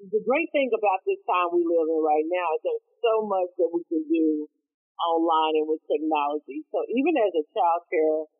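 A woman's voice: lowish voice, moderate pace. A woman from Dallas, Texas, explaining her work as a consultant with eager, upbeat energy. Her voice comes through a thin, phone-like line. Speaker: guest, marketing consultant. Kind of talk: interview answers.

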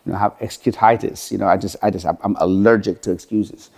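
A man's voice: lowish voice, fairly even intonation, fast, creaky, a little mellow. A middle-aged man from Iran, a podcast guest telling his life story earnestly, with many "you know" fillers. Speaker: podcast guest, gym franchise owner. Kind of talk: interview storytelling.

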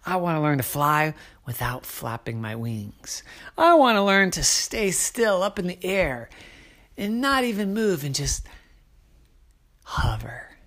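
A storyteller voicing an eager, excitable young male crow who stammers when pleading. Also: medium pitch, unhurried.

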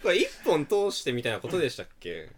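A man's voice: fairly high pitch, huge pitch swings, quick pace, a crowd in the background. A man co-hosting a podcast, chatting casually. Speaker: podcast host. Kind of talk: casual chat.

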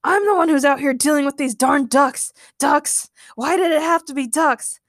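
A woman storyteller voicing a gruff, irritable male fighter in a growling, sarcastic manner. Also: high voice, normal speed, dry recording, studio quality. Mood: grumpy.

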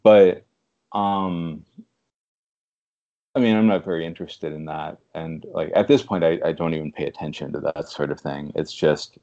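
A man speaking as a literary translator in a conversational interview, thoughtful and halting in a measured way. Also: extremely low pitch, steady tone, dark tone, muffled recording. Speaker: podcast guest, literary translator.

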